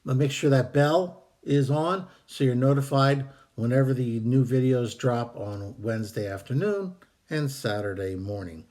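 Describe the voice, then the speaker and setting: A man hosting a video, calmly reading out and explaining a meditation technique with some hesitant fillers, while fighting a cold that is costing him his voice. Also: mid-range voice. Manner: calm, measured, with hesitant fillers. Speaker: host.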